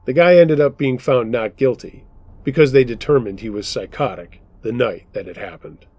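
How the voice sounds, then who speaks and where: A man hosting a show where he reads submitted true scary stories aloud, in steady, measured first-person narration. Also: mid-range voice, a little hiss or hum. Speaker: storyteller host.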